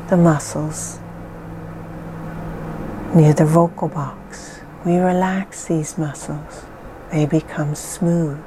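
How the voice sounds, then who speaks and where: Calm lady talking someone into hypnosis, super slow, low mellow voice, faint hiss behind. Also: poor audio. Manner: slow soothing measured delivery with long pauses. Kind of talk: guided hypnosis.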